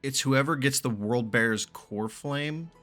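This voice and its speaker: A man's voice: medium pitch, slow, fairly bright tone. A man giving casual, informal reaction commentary as he plays a game, with joking, sweary phrasing. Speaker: gaming streamer reacting to a story quest. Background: game music.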